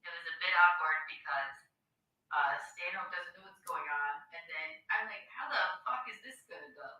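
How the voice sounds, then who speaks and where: A very sharp, bright-voiced woman speaks from a bit off the mic in an echoey room. She chats casually as a co-host on a livestream. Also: fairly low pitch, moderate pace, distant mic. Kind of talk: casual chat. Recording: roomy, away from the mic.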